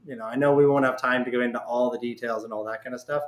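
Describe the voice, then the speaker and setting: A man's voice: mid-range voice, fairly even intonation, rapid-fire. A man hosting a casual podcast interview, speaking informally and circling around his questions in a rambling way. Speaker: podcast host. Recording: echoey, roomy sound.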